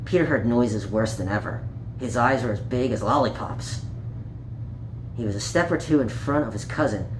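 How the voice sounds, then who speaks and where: A man's voice: mid-range voice, normal speed. A man reading a children's story aloud in a playful, child-directed way, chatting to his young listeners as he goes. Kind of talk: read-aloud children's picture book with playful asides. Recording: roomy home recording with faint noise.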